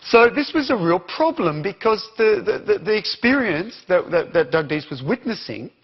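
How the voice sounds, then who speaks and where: A man's voice: high voice, very animated, normal speed, breathy edge, dull audio. A man with an Australian accent giving an interactive conference talk, questioning the audience as he goes. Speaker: conference speaker.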